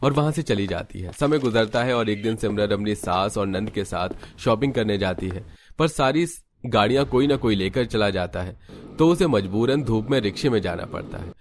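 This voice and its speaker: A man's voice: lowish voice, expressive, moderate pace, slight background noise. A man narrating a scripted cartoon family story.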